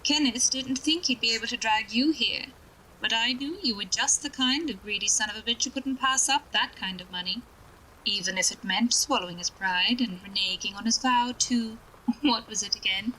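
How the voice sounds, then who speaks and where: Woman playing a sharp, scornful noir dame. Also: a little high, moderate pace, a little hiss or hum, low-quality recording. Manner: scornful, biting. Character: bitter, cutting, loyal woman of 1940s noir. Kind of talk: film noir audio drama acting.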